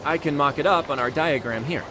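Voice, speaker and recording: A man's voice: on the high side, fairly quick, noisy background, close to an everyday voice. An actor playing a man who briefs his detective partner on a case in a game cutscene, in an explanatory tone. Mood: explaining. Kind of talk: video game cutscene voice acting. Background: background music.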